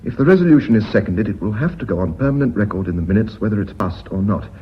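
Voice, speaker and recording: Earnest, low-voiced company secretary. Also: male voice, animated, fast, slightly rough. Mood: earnest pleading. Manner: polite, earnest, persuasive. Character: earnest, loyal, precise male company secretary. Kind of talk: radio drama acting. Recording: muffled, hissy old radio recording.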